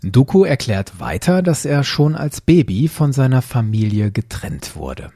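A man's voice: medium pitch, very animated, unhurried, warm tone, breathy edge, soft background music. A man hosting a podcast, narrating and explaining, posing questions and answering them. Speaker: podcast host.

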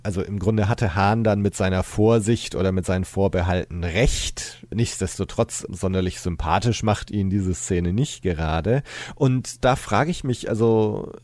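A man with a fairly low voice hosts a casual podcast discussion. He talks reflectively, explaining as he goes, and the sound is dry with hardly any room. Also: measured pace, darkish tone, no echo. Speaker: podcast host.

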